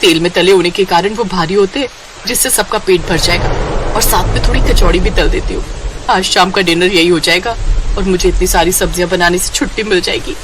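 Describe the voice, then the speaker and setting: A woman's voice: fairly low pitch, brisk, background noise, natural voice. A woman voicing a lazy, whiny young bride in a moral-story cartoon, complaining and exclaiming to herself. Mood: pleased, scheming.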